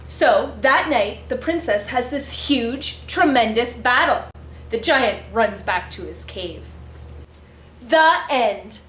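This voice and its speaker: A woman's voice: a little high, lively intonation, slow, fairly bright tone. A woman reading a picture book aloud in character, with girlish, dramatic expression and exaggerated reactions. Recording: roomy echo with faint music.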